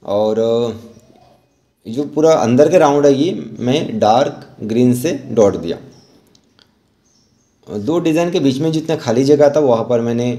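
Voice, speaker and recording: An Indian man giving an informal step-by-step tutorial voice-over as an instructor, halting and repeating himself as he explains. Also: medium pitch, warm tone. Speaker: rangoli art instructor.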